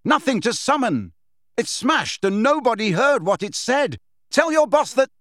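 A defiant young wizard boy, as a male narrator plays him in a storybook. His voice is raised and lively, shouting angrily and mocking his foe. The sound is dry and close. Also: high-pitched, a little fast, dry recording, voice pushed up. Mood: taunting, shouting. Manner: shouting, taunting.

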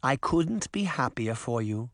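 A man voicing a kindly old grandmother, brisk and encouraging, in a children's storybook reading. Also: mid-range voice, sing-song, no room sound, clean studio sound. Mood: warm.

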